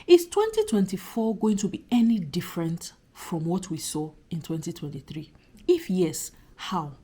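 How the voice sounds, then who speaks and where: A woman in her thirties with a slightly husky, croaky voice from a recent cold, chatting earnestly to her subscribers in a casual vlog at home. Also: fairly low pitch, lively intonation, moderate pace, dark tone. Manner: earnest, chatty, emphatic repetition. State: recovering from a cold. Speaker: YouTuber talking to subscribers. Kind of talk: casual reflective vlog monologue.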